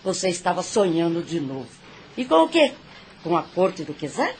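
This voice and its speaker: A woman's voice: on the low side, sing-song, normal speed, a little hiss or hum. A woman playing a grumpy, scornful old female factory boss in an audio drama, scolding and bossy. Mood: scolding, mocking.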